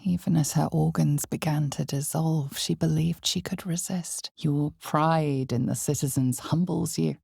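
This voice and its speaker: A woman playing an ominous, knowing fantasy game narrator, with measured, theatrical delivery and a breathy, husky voice that often drops toward a whisper. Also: low-pitched, steady tone, dark tone, dry recording, studio quality, heavily acted. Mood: grave, measured. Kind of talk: dramatic second-person fantasy narration.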